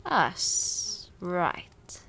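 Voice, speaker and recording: A woman's voice: fairly low pitch, wildly swooping pitch, drawn-out words, vocal fry, bright, forceful tone, heavily acted. A woman voicing a sardonic, conflicted male demon in a comic dub, wry and hesitant, sometimes dropping to a whisper. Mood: nervous, evasive.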